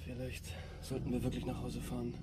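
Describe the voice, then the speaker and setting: A man's voice: mid-range voice, completely flat delivery, fairly quick, close to an everyday voice. A man acting an impatient, menacing hunter of a culprit in a German crime radio drama, brusque and commanding. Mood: restless. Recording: ambient noise with vehicle sound.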